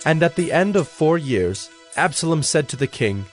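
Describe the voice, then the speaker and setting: A man narrating a dramatized audio Bible in a solemn, measured storytelling manner. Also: fairly high pitch, very animated, soft background music.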